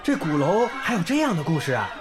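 An actor playing a young volunteer teacher in a village who speaks warmly and encouragingly. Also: male voice, a little high, very animated, quick pace. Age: young adult. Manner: warm, encouraging tone. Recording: background music under the scene.

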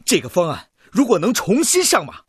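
An actor playing an earnest missile designer in a radio drama, arguing his bold plan to a meeting in an emphatic, deliberate way. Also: sits high, huge pitch swings, husky, dry recording, studio quality, full character voice. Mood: earnest, insistent. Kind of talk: radio drama acting, formal speech to a meeting.

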